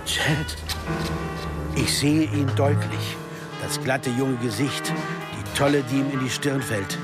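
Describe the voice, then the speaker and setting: A man's voice: mid-range voice, slow, close to an everyday voice. A man acting in a radio crime drama as a restless amateur sleuth, narrating his own story in a reflective, intimate way. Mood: dazed, awed. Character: troubled male American amateur sleuth, introspective, driven, restless. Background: music.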